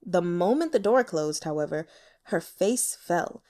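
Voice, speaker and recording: A woman's voice: lowish voice, lively intonation, unhurried, mellow. A woman reading fiction aloud as an audiobook narrator, in a dramatic storytelling style.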